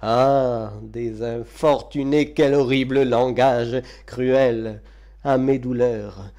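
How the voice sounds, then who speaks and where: A man giving a dramatic recitation of classical verse, with solemn, declamatory and deliberate phrasing. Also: medium pitch, steady tone, warm tone. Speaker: reader of poetry.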